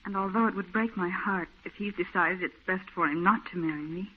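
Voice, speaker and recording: A woman's voice: fairly low pitch, a little fast, slight background noise, close to an everyday voice. Actress playing a lovelorn young woman, muffled old radio. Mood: anxious, heartsick. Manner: earnest, emotional, melodramatic. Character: anxious, lovelorn young woman fretting over her troubled fiancé. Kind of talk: old-time radio drama acting. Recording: muffled, narrow-band old radio recording.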